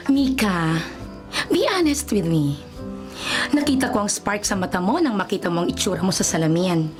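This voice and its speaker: A woman's voice: mid-range voice, lively intonation, normal speed, close to an everyday voice. A woman with a Filipino accent playing a gushing, insistent young big-sister figure in a radio drama. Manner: gushing, insistent, mixes in English phrases. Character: bubbly, bossy, affectionate big-sister woman, Ate Karen. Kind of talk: Tagalog radio drama acting. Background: music bed.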